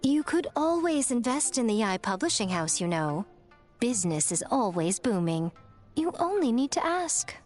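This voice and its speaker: A woman's voice: a little high, very animated, normal speed, a little mellow, soft background music. An actress playing an elegant, sly woman in a video game, teasing and playfully condescending in an airy, coy way.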